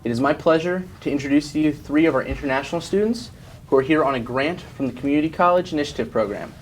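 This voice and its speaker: A man's voice: on the high side, fast talker, slight background noise, rough recording. A young man moderating a student press conference, giving polite, formal introductions. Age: young adult.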